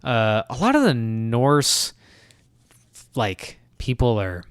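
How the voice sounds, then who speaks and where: A man's voice: mid-range voice, takes it slowly, clean tone, no room sound, studio quality. A young man co-hosting a music podcast, chatting casually and musing through his thoughts with asides. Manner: relaxed, musing, trails off into asides. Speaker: podcast co-host.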